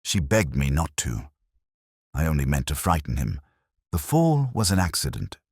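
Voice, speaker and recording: A man's voice: extremely low pitch, huge pitch swings, normal speed, slightly rough, dry recording, clean studio sound, heavily acted. A man voicing a frightened, guilt-ridden man in an audio mystery story, subdued and pleading. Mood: guilty, pleading.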